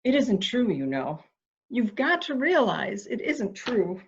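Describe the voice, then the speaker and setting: A woman's voice: on the high side, sing-song, slow pace, exaggerated voice. A woman playing a fretful, intense, protective member of a household in a melodramatic mystery reading, in slightly dull sound. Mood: upset, defensive. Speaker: amateur reader, library staff. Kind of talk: dramatic reading of a murder mystery.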